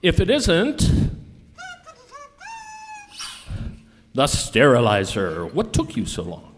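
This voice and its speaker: A man playing a gloating supervillain in an amateur comic radio skit, with drawn-out melodramatic delivery. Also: mid-range voice, wildly swooping pitch, slight background noise. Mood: taunting. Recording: reverberant hall, live recording.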